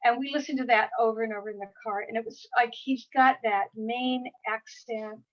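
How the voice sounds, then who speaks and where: Chatty woman in her 60s, kinda high voice, rambles and restarts a lot, muffled lo-fi video call sound. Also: fairly even intonation, moderate pace. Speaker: guest author. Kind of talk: casual conversation. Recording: dull lo-fi audio.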